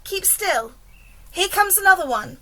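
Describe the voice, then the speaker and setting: A woman voicing a brave, excited young boy who gives urgent commands in a storybook reading. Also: very high-pitched, unhurried, pitched above the performer's own voice, exaggerated voice. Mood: urgent, commanding.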